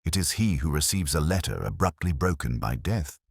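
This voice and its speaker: A man voicing a calm, incisive consulting detective in a mystery audiobook, with a crisp, deliberate manner. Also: very deep voice, no room sound, studio quality, full character voice. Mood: deliberate, musing.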